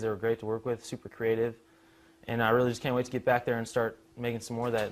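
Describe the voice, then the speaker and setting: A man's voice: medium pitch, slight background noise. A man giving an informal presentation in a conversational, casual way.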